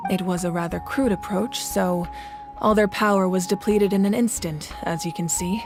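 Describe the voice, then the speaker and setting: A woman acting as a wise female warrior leader in a video game. She speaks at a middle pitch with calm authority, choosing formal words and measured phrasing. Soft music plays behind her. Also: close to an everyday voice. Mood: composed, serious.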